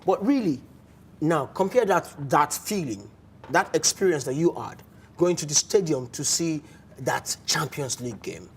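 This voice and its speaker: A man with a Nigerian accent hosting a casual sports talk show in a studio, animated and often restarting his words. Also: on the high side, a little slow, slight background noise. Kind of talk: casual studio chat with phone-in.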